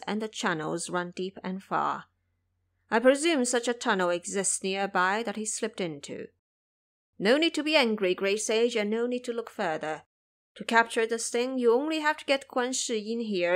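A humble god of the soil, voiced by a woman in an audio drama. He talks with measured, respectful care, as one addressing a superior. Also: fairly high pitch. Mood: respectful, reassuring. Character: deferential, slow-spoken male local earth and mountain gods. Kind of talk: audio drama character acting.

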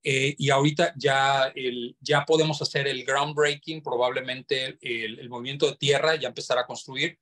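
Halting man explaining on a webinar. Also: mid-range voice, fairly even intonation, fairly bright tone. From Mexico. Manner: halting, searching for words. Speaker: property developer's representative, webinar guest. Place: indoors, on a video call.

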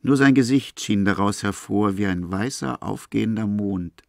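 A man's voice: fairly low pitch, moderate pace, creaky, dry recording, studio quality. A man acting as the narrator of a grim literary radio play, telling a madman's story in the third person. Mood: measured narrating.

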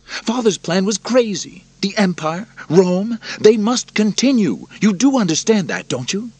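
A man voicing an angry, unstable young male emperor who shouts and rises in pitch as he talks, with a husky voice. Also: sing-song, unhurried, dry recording, heavily acted. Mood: aggrieved, agitated.

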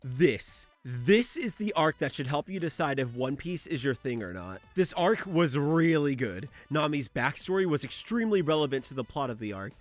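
A man's voice: fairly high pitch, very animated, normal speed, few pauses, faint music behind. A young man narrating a video essay as its host, informal and slangy, dropping into casual, sweary asides.

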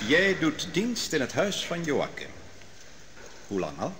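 An actor playing a young prophet who sharply questions witnesses and challenges a judge in an old radio drama. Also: male voice, a little high, huge pitch swings, a little slow, noisy background. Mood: gentle questioning. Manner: sharp, commanding, interrogating. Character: young prophet defending the accused, commanding. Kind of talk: 1960s Dutch radio drama. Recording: reverberant old radio recording.

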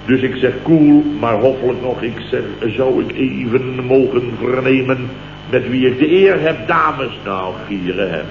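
An actor from the Netherlands playing a blustering, indignant company boss in a radio comedy, telling tales in clipped, mock-dramatic sentences with a Dutch accent. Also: male voice, mid-range voice, unhurried, close to an everyday voice. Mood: mock-dignified. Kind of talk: 1960s-70s radio comedy acting. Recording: muffled old radio recording, noisy, roomy, hum.

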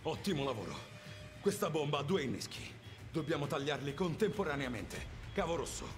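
An actor playing a calm, methodical soldier in a video game, giving measured bomb-defusing instructions. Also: male voice, fairly high pitch, over music, natural voice. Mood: focused. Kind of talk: cinematic video game acting.

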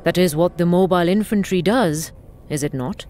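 A woman's voice: lowish voice, moderate pace, a little mellow, a little hiss or hum, close to an everyday voice. A woman playing an evasive, imperious corporate station director in a video game, formal and condescending. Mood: cool, condescending. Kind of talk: video game dramatic acting.

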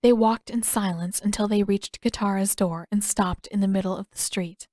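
A woman's voice: mid-range voice, fairly even intonation, moderate pace, mellow, no room sound, clean studio sound. A woman reading a story aloud as a scripted audiobook narration. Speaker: fan fiction author and narrator.

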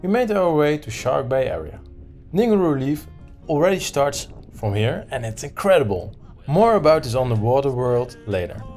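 A man's voice: mid-range voice, big pitch swings, moderate pace, darkish tone, soft background music. A man in his thirties narrating a travel vlog. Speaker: travel vlogger.

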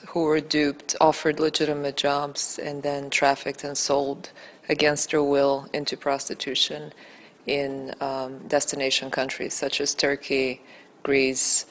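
Woman, 30s, low voice, earnest and articulate, film-maker being interviewed on a handheld mic. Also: a little hiss or hum. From Bulgaria. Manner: earnest, articulate, building emphasis. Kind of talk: interview answers. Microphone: handheld mic held by interviewer.

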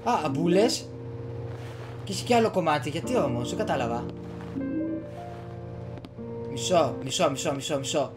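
A man's voice: on the high side, animated, long pauses. A young man with a Greek accent giving casual video game commentary, muttering and exclaiming to himself, recorded at home on a desk microphone. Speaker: gaming streamer. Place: small room at home. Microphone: desktop USB microphone. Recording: game music behind the voice.

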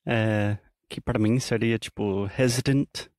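Slow-talking man co-hosting a language podcast. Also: lowish voice, steady tone, darkish tone, dry recording, studio quality. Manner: asks questions and checks understanding. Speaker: podcast co-host. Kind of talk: casual language-teaching chat.

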